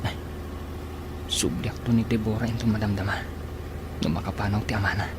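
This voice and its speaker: A man's voice: fairly low pitch, fairly even intonation, brisk, lightly acted. A man playing an anxious, jealous young sweetheart in a radio drama, with a worried, evasive manner. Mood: uneasy, evasive. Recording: clearly noisy, engine-like ambience behind.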